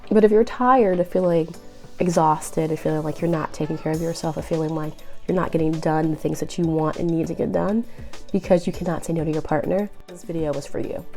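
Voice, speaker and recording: Young woman, nasal and raspy from a cold, gravelly low voice, mellow tone, faint music behind. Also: fairly even intonation, brisk. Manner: earnest, encouraging, addresses viewer as boo. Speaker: relationship advice vlogger. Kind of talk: direct-to-camera advice vlog.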